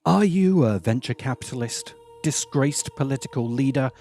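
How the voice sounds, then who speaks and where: A man hosting a video essay, delivering scripted commentary in a dry, deliberate way with dramatic emphasis. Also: mid-range voice, animated, dark tone, faint music behind. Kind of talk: scripted narration, film commentary.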